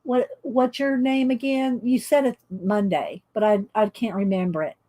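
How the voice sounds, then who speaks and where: Middle-aged woman, loud carrying voice, slightly high; rambling, laugh-prone chat host. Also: steady tone, moderate pace. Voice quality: loud voice that carries. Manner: chatty and rambling, laughs often. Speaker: coloring channel host. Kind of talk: casual chat while coloring on a livestream.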